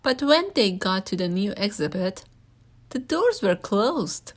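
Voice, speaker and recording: A woman's voice: medium pitch, very animated, a little slow. A woman reading a children's picture book aloud as a storyteller.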